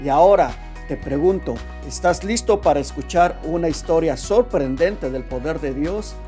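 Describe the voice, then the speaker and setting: Man, testimony show host, fervent preacher-like exhortation, raised pitch, light music bed. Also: normal speed, mellow.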